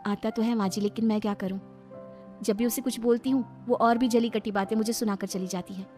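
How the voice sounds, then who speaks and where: A woman's voice: on the high side, steady tone, a little fast, mellow, faint music behind, close to an everyday voice. A woman voicing a sweet, naive young village daughter-in-law in a cartoon story, speaking politely and earnestly. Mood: hurt.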